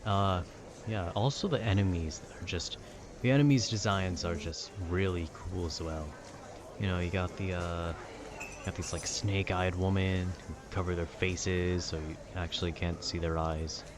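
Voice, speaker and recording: A man's voice: low-pitched, fairly even intonation, measured pace, background noise. A man chatting casually in a laid-back, meandering, slangy way, as if rambling to a friend about video games. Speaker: audio roleplay creator.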